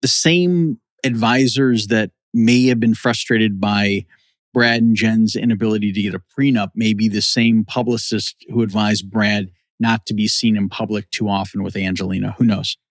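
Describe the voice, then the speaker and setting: A man talks as a podcast co-host, offering conversational commentary. His pitch is a little low. The recording is dry, with hardly any room sound. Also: fairly even intonation, moderate pace, dry recording.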